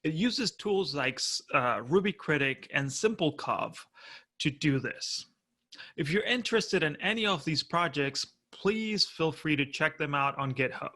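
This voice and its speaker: A man's voice: on the high side, expressive, measured pace, sharp tone. A man in his thirties from Argentina with an Argentine Spanish accent, giving a friendly, explanatory prerecorded conference talk from home. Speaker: conference speaker.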